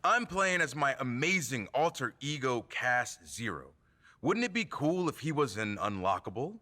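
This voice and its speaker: Bright-voiced, brash wrestler gamer. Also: male voice, mid-range voice, very animated, moderate pace. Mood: smug. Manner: emphatic, taunting, theatrical. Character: brash, mocking male wrestler and gamer, indignant showman. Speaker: rival wrestler who hosts a gaming show. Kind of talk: scripted video game cutscene acting.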